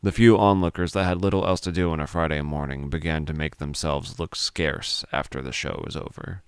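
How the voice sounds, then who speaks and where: An extremely low-voiced man narrates a dramatic story. His voice is smooth and resonant, recorded close and dry. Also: fairly even intonation, brisk, no echo.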